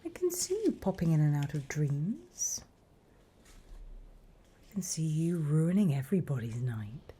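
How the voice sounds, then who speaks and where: A British woman playing a sly, greedy female demon auctioneer in a whispered ASMR roleplay, with a soft breathy voice and a deliberate, coolly questioning manner. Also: low-pitched, big pitch swings, heavily acted. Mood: coolly appraising.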